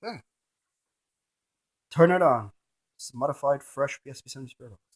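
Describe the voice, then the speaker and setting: A man's voice: medium pitch, fairly quick, breathy edge. A man presenting a casual hands-on gadget demonstration, narrating each step in short fragments.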